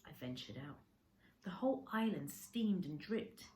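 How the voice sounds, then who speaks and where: A woman's voice: on the low side, lively intonation, unhurried, warm tone. A woman reading a children's story aloud like a teacher, expressively, with dramatic emphasis on descriptive words.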